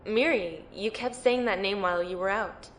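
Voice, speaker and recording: A woman's voice: medium pitch, normal speed, a little hiss or hum, lightly acted. A woman playing a tough, no-nonsense lieutenant in an amateur audio drama, blunt and matter-of-fact. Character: tough, no-nonsense female lieutenant in powered armor. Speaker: voice actor playing a military lieutenant.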